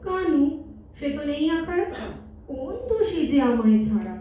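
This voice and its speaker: A woman's voice: sits high, animated, a little slow. A woman playing a coy, teasing young woman in love, speaking lilting rhymed verse theatrically in a stage audio drama. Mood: dreamy, lovestruck. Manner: theatrical, lilting, poetic recitation. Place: multipurpose hall stage in Kolkata. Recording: echoey hall, distant mic, dull, faint noise.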